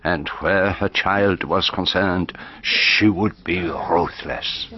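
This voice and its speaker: Deep-voiced, shrewd detective. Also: male voice, fairly even intonation. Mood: musing. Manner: measured, deliberate, reflective. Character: shrewd, observant male detective narrating his suspicions. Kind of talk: first-person radio drama narration. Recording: slightly dull broadcast radio recording.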